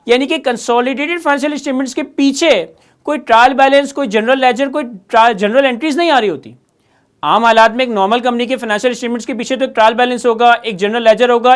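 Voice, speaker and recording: A man's voice: very high-pitched, clean tone. A man with a Pakistani accent giving an instructional lecture, explaining step by step with emphatic repetition. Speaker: accounting lecturer.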